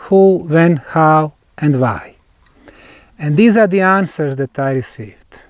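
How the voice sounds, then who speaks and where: A man with a Bosnian accent explains a site on location as a researcher, in deliberate, emphatic short phrases with pauses. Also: on the high side, no room sound. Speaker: researcher presenting a field investigation.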